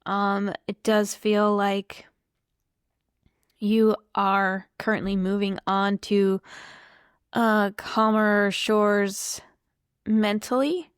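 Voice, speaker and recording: Slightly hoarse, slow-talking woman tarot reader. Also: mid-range voice, level tone, no room sound, clean studio sound. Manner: chatty and reflective, thinking aloud. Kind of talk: casual tarot reading to camera.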